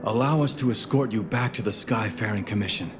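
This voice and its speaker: A man's voice: lowish voice, fairly quick, natural voice. A man voicing a dutiful soldier escort in a video game, formal and urgent. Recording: music under the voice.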